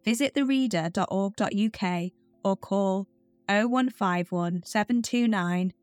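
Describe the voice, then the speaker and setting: A woman's voice: medium pitch, unhurried, a little mellow, no room sound. A woman reading scripted promotional narration as an announcer. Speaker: charity announcer. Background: music.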